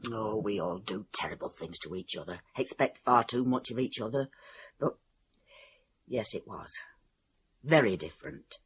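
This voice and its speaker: A man's voice: fairly low pitch, normal speed, long pauses, a bit bright, slightly rough, dull audio. An actor playing a kindly, worldly-wise older man, giving firm advice in a radio play. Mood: earnest, consoling.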